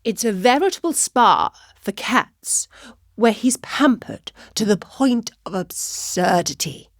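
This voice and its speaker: A woman's voice: fairly high pitch, very animated, extremely slow, a bit bright, dry recording, clean studio sound, exaggerated voice. A woman voicing a vain, indignant male cat tutor in a children's story, drawling pompously and full of grievance. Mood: envious, annoyed. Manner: pompous, drawling, theatrically aggrieved.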